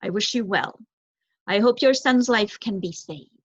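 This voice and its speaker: A woman voicing a solemn, resigned old male owl in a children's storybook, with formal, measured phrasing. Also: mid-range voice, breathy edge, dry recording, highs cut off. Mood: melancholy, resigned. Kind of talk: children's storybook read aloud.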